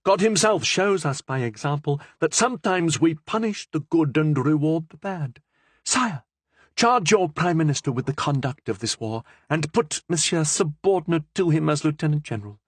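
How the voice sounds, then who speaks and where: An older queen mother pleads with her son in lifted, imploring tones, her speech formal and insistent, as a male narrator puts her on. The voice is pitched well up, swoops expressively and has a breathy edge. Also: lively intonation, moderate pace, no echo. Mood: pleading.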